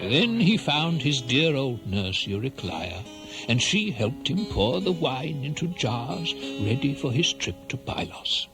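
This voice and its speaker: A man narrates a radio drama as a theatrical storyteller. He takes his time, with highly varied pitch, over a clear music bed. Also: sing-song, slow pace.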